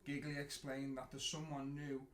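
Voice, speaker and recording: Man reading a children's storybook in an echoey room. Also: medium pitch. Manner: animated, dramatic pauses and drawn-out words. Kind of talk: expressive picture-book storytelling for children. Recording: roomy echo, clear background noise.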